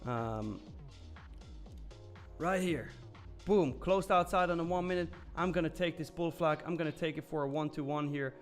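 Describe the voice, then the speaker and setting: A man's voice: on the high side, moderate pace, faint music behind. Man, casual and candid. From Switzerland. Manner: loose and candid, with frequent fillers and some mild swearing. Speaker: live trading stream host. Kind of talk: casual live-stream chat, reading and answering viewer comments.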